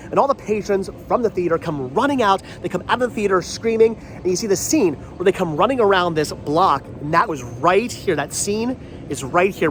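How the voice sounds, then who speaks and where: A man with a stuffy, congested voice from a cold, chatting enthusiastically as he walks outdoors on a rainy town street and films himself. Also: sits high. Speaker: vlogger. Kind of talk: walking tour vlog. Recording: light street noise.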